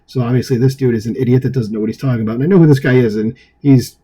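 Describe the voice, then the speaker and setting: A man with a husky voice reading an article aloud as an online commentary host, dropping in opinionated, dismissive asides. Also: medium pitch, fairly even intonation, rapid-fire, warm tone.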